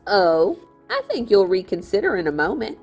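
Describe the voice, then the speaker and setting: A woman's voice: medium pitch, very animated, moderate pace, darkish tone, faint music behind. A woman voicing a greedy, fat older miller in a storybook, a smug villain with a falsely genteel, gloating manner. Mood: sly, menacing.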